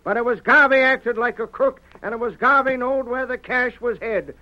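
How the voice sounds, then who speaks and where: Rustic Old West sheriff, American Western accent, plain-spoken, very high pitch, dull vintage radio sound. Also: male voice, moderate pace. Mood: firm, indignant.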